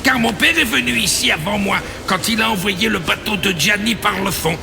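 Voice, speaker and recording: An actor playing a serene, devout monk with solemn, mystical phrasing in a dubbed video game cutscene. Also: male voice, sits high, normal speed, gravelly, music in the background. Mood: grave, fervent.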